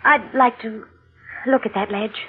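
An actress playing an anxious, inquisitive wife in a 1940s radio mystery, speaking in a hesitant, probing way. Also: female voice, on the high side, steady tone, a little slow, phone-quality sound, close to an everyday voice. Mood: uneasy, insistent. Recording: thin vintage radio broadcast, music underneath.

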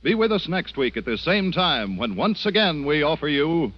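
A man's voice: on the high side, sing-song, normal speed. A man reading scripted announcements in 1940s radio announcer style. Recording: muffled old radio broadcast.

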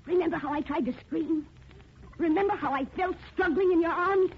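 An actress in a 1940s radio drama playing a wronged young daughter who confronts her would-be killer with slow, haunting taunts. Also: very high voice. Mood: eerie accusing. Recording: muffled, noisy old 1940s radio recording.

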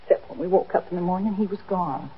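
Through a dull, hissy old radio recording comes a worried middle-aged housewife played by a woman. She speaks earnestly and hesitantly at a medium pitch, remorseful and pleading, in the manner of 1950s radio drama. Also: fast, close to an everyday voice. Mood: regretful, worried.

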